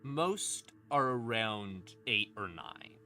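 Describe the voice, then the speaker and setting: Bright-voiced, irreverent man explaining lore. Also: fairly low pitch, expressive, slow. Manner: irreverent, sweary, wry asides. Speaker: streamer explaining game lore. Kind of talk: casual, conversational lore explainer. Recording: eerie ambient music behind voice. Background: creepy ambient music.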